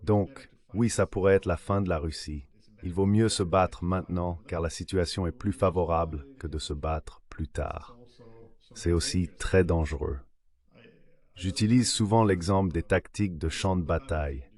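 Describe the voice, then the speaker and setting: Deep ai man voice, dubbed expert guest explaining stuff, measured analysis. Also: a little mellow. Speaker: translated voice of an interview guest, an academic.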